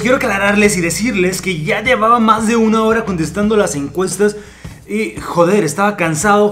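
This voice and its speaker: A young man, narrating a casual vlog in a sarcastic, playful, animated way from a small bedroom. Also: sits high. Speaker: YouTuber. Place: small bedroom with gaming chair.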